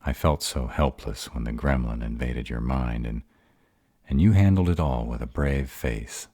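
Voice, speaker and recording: A man playing a very deep, bashful were-dragon lover. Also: normal speed, mellow, no room sound. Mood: earnest, caring. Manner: hesitant, earnest, tender, easily flustered. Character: gruff, bashful male were-dragon lover in human form. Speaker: voice actor in roleplay audio. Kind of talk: intimate romantic audio roleplay.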